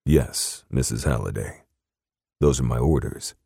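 A man playing a stoic, protective bodyguard in a restrained, soft-spoken and terse way. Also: very deep voice, unhurried, gravelly, slightly rough, no room sound, studio quality, heavily acted.

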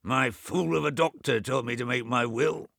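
A man's voice: medium pitch, normal speed, vocal fry, fairly bright tone, no room sound, studio quality, voice pushed up. A man reading aloud voices an ailing, boastful old man in his late seventies who complains petulantly. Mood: irritable. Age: over 70. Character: querulous sick old man, bedridden and boastful.

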